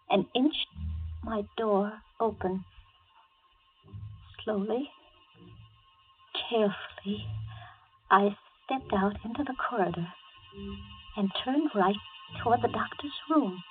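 An actress playing a frightened hostage, narrating in the hushed, melodramatic style of 1940s radio drama. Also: female voice, medium pitch, close to an everyday voice. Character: frightened woman held hostage, breathlessly recounting her ordeal. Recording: narrow lo-fi old radio recording. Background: dramatic music.

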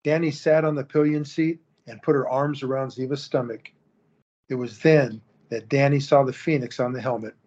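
A man narrating an audio drama in a steady storytelling manner. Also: mid-range voice, moderate pace. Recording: dull lo-fi recording.

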